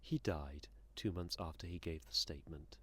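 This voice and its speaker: A man playing a menacing, condescending inhuman entity in an audio drama, speaking in a sardonic, theatrical and deliberate manner. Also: deep voice, fairly bright tone, husky. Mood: detached, matter-of-fact.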